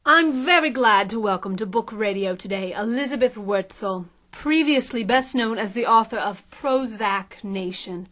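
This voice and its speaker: Polished woman with a higher voice , acting. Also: normal speed, hardly any pauses, a bit bright, lightly acted. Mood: formal, probing. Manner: articulate, pitching. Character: polished, promotional female media consultant and interviewer. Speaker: actor. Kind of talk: satirical audio drama acting.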